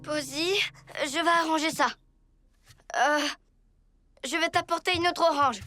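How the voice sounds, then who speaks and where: A performer voicing an eager, dreamy young rabbit child in a French preschool cartoon, with expressive exclamations and an impatient but apologetic nature. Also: sits high, a little slow. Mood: apologetic, fretful.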